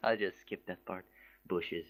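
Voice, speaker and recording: A man's voice: low voice, a little slow, heavy background noise. A man reading a story aloud as an amateur, stumbling over words and dropping in casual asides. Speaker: story reader. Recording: music behind the voice. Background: background music.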